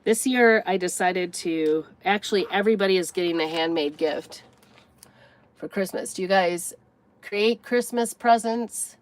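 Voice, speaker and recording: A woman's voice: medium pitch, a little slow. An older woman with a stuffed-up, congested voice, chatting casually and thinking aloud as she works through a craft tutorial. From United States. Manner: chatty, rambling, thinks aloud while working. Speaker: craft vlogger.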